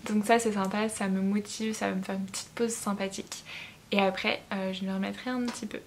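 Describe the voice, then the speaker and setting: A woman's voice: medium pitch, little pitch movement, fairly quick. A young woman vlogging in casual chat, chatty and self-mocking, laughing at herself now and then. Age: young adult. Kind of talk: casual study-with-me vlog chat.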